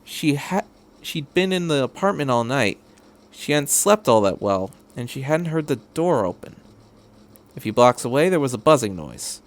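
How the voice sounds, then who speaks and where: A man's voice: mid-range voice, very animated, normal speed. A man reading a story aloud as a podcast narrator, in scripted third-person prose.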